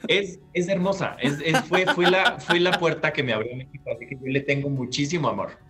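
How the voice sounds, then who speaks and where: Man, thirties, animated storyteller, laptop video call, faint background music. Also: a little high, brisk. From Peru. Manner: animated, gestures while recounting, restarts phrases. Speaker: guest actor. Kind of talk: storytelling interview answer. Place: bright living room at home. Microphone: laptop webcam on video call.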